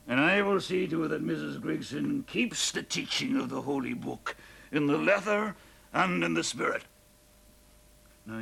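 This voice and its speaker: An actor playing a drunken, boastful Irish lodger who brags, laughs and shouts in an Irish accent. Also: male voice, mid-range voice, creaky, slight background noise, exaggerated voice.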